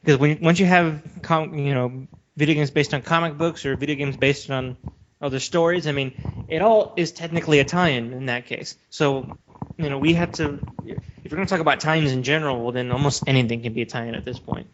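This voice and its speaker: Lo-fi, higher-voiced man, assertive. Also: a little fast, slight background noise. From Western country. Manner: assertive, emphatic, steers the talk. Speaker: podcast co-host. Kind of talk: casual opinionated discussion. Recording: dull lo-fi audio.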